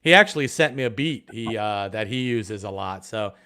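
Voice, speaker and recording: A man from Ohio chatting as a guest on a casual live stream about metal detecting, excitable and full of restarts. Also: fairly low pitch, moderate pace, clean tone. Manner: excitable, rambling, many restarts.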